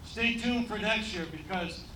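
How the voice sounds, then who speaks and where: A man emceeing a ceremony, joking at his own expense and pausing often on fillers. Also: high voice, background noise. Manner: joking, self-deprecating, halting with many fillers. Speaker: event emcee. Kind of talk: ceremony speech with jokes. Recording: echoey room, voice from far off.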